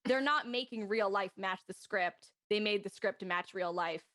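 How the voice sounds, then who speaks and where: A woman's voice: mid-range voice, normal speed, a bit bright. A woman in a casual group discussion, thinking aloud as she reasons through her points. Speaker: panelist on a live show.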